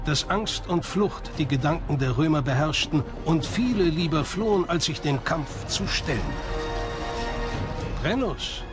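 Higher-pitched male documentary narrator over music. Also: animated, measured pace. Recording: music behind the voice. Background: background music.

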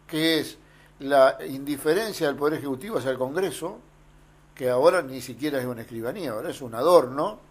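A man hosting a radio show, making deliberate political comments and thanking his guest. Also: medium pitch. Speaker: radio host. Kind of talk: radio interview.

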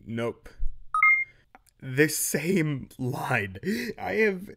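A man's voice: fairly high pitch, very animated, extremely slow, gravelly, very noisy. Casual, chatty man between recording takes. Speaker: audio drama director and voice actor. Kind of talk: casual behind-the-scenes bloopers chat.

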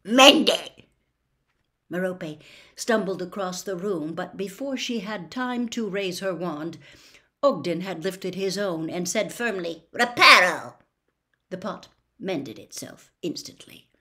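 An older woman narrating a storybook as host, with a theatrical, expressive delivery. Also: fairly low pitch, unhurried. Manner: theatrical, animated. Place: small home room with bookshelves.

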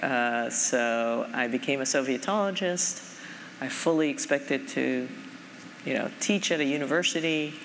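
A middle-aged woman from Japan, head of a foundation, talking thoughtfully onstage with many fillers. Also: a bass voice. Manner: thoughtful, measured, frequent fillers. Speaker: foundation president. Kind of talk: onstage conversation. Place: large conference stage. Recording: reverberant hall, faint noise.

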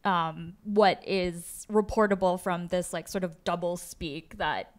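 A woman's voice: lowish voice, fairly even intonation, slow pace. A woman in her thirties from the Philippines, a native Tagalog speaker, answers conversationally as a guest journalist on stage, thinking aloud hesitantly into a handheld mic. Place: auditorium stage. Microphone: handheld microphone held at chest.

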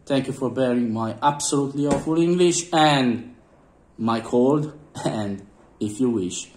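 A man with a cold talks in a hoarse voice, pausing often and clearing his throat. His non-native English comes slowly. The room echoes and faint noise sits behind him. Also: mid-range voice, darkish tone. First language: Italian. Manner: halting, many fillers and throat clearing. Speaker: vlogger. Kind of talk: casual unboxing commentary. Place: indoors at a table.

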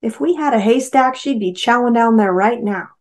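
Woman as male rancher, easygoing, chatty, self-deprecating, raised lively pitch, storybook reading. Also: moderate pace, close to an everyday voice. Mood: easygoing, joking. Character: easygoing, joking male rancher, Army veteran.

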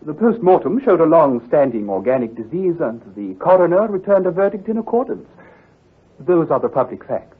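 An actor playing an earnest, troubled country doctor who speaks gravely and precisely, with some hesitation, in old-time radio drama style. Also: male voice, a little high, sing-song, moderate pace. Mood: earnest, factual. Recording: muffled, husky old radio recording.

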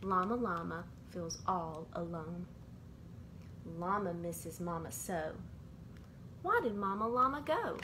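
A middle-aged woman reading a rhyming children's picture book aloud in a measured, sing-song way, as bedtime stories from a bedroom. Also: lowish voice, lively intonation, pushed and bright. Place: small indoor bedroom. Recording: clearly noisy amateur home recording.

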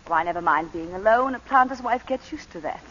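Nervous planter's wife, breathy and lively, 1940s radio play. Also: female voice, lowish voice. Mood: composed. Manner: nervous, halting, then composed storytelling. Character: tense, frightened planter's wife, adult woman. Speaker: lead actress in radio drama. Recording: dull old radio broadcast.

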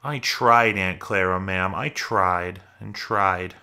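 A man acts out a big, slow-witted fellow from a storybook with a bright, forceful voice. He talks in plain rustic phrases, childlike and anxious, forever pleading his case. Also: medium pitch, expressive, voice pushed up. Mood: sad, pleading. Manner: plain rustic ungrammatical talk.

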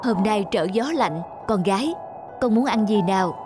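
A woman voicing a kind, patient mother in a moral-tale cartoon, speaking in a gentle, polite, instructive manner. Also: medium pitch, moderate pace, music in the background, natural voice. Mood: caring. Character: kind, patient young mother teaching her daughter compassion.

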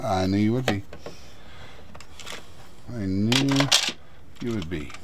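A man's voice: lowish voice, expressive, takes it slowly, very noisy. A man hosting a sailing vlog in a casual, encouraging way, giving step-by-step instructions aboard his boat. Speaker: vlog host and sailor. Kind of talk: casual vlog talk and coaching. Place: aboard a sailboat.

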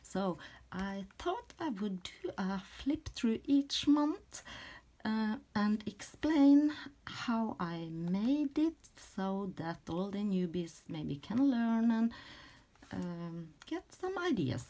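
A middle-aged woman from Norway with a Norwegian accent chats casually and haltingly as she presents her handmade craft work, searching for words in English as a second language. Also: mid-range voice, big pitch swings, dark tone. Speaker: crafter presenting a journal flip-through. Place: indoors at a craft table.

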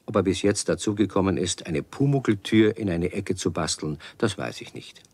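A man's voice: low voice, animated, normal speed, a little mellow, breathy edge. A man narrating a children's audio drama as its storyteller.

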